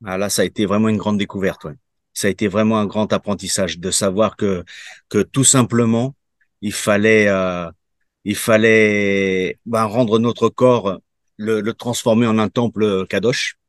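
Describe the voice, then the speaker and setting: A man in his fifties with a lowish voice answers interview questions in a halting way, pausing on fillers and starting his sentences over. His voice is recorded close and dry, with no room sound. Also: fairly even intonation, no echo. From Portugal. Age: 50s. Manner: halting, many fillers and restarts. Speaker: program participant giving a testimonial.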